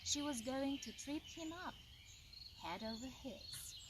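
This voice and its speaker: Woman, bedtime storyteller, very slow delivery, expressive, distant mic, loud cricket and bird sounds. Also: high-pitched, very noisy. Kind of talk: bedtime story reading for children.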